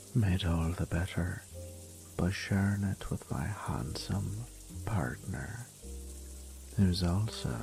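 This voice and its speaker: Man acting a low, gravelly charming thief in love. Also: a little mellow. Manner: flirtatious, murmuring, drops into whispers. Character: charming reformed male thief, teasing, tender fiancé. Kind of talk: intimate romantic audio roleplay.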